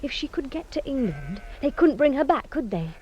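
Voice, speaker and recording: A woman's voice: high-pitched, sing-song, normal speed, darkish tone, muffled recording, slight background noise. An actress playing a determined, practical young woman in a radio drama, speaking earnestly and persuasively. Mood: persuasive, urgent.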